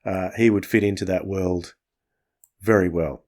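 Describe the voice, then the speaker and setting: A man's voice: deep voice, fairly even intonation, a little slow, darkish tone. A man hosting a podcast, chatting casually as he reviews. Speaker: podcast host.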